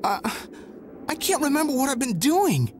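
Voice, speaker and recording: A woman voicing a dazed, loyal boy sidekick in a video game, halting and groggy. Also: high voice, very animated, slight background noise. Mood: confused, groggy. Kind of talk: cartoonish video game voice acting.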